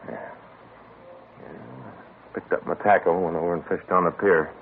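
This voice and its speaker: An actor playing a chatty police partner who tells a long, aggrieved story in a 1950s radio drama. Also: male voice, low voice, a little slow, gravelly, slight background noise. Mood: rueful. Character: chatty male police partner, grumbling about bad luck. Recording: thin, lo-fi old radio broadcast.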